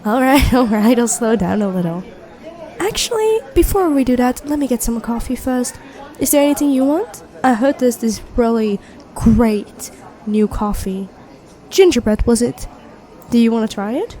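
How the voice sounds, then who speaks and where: A woman's voice: on the high side, animated, normal speed, mellow, natural voice. A woman playing a friendly, teasing young best friend with a secret crush in an intimate ASMR roleplay. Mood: cheerful, casual. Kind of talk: intimate ASMR roleplay acting, one side of a conversation.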